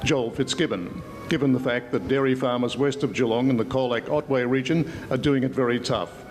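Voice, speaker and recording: A man's voice: mid-range voice, brisk, soft background music. A man reporting the news from a script. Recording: reverberant hall, faint background noise.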